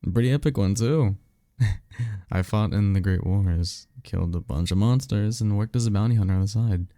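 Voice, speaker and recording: A man's voice: lowish voice, moderate pace, dark tone, no room sound, studio quality, close to an everyday voice. A man playing a cocky, easygoing vampire mentor and former archmage in a slangy, offhand audio roleplay, teasing and swearing casually. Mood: wry, reflective.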